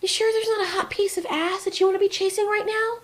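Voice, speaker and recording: A woman voicing an exasperated, sharp-tongued female giant monster in a comic audio drama, sarcastic and indignant. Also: fairly even intonation, fast. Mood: huffy, sarcastic. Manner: sarcastic, indignant, high-pitched.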